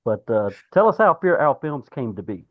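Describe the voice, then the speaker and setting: A man hosting a podcast who asks rambling, relaxed questions and laughs along with his guests. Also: mid-range voice, moderate pace, no echo, phone-quality sound. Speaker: podcast host. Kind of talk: casual interview questions.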